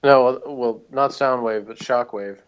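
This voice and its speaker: A man's voice: medium pitch, steady tone, measured pace, clean tone. A man co-hosting a review show, talking casually about pop culture in an easygoing, hedging way.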